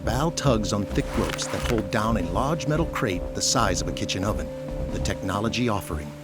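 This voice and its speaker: A male narrator with a fairly deep voice reads scripted narration for an audio drama. Music is clearly audible behind him throughout. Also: animated, moderate pace.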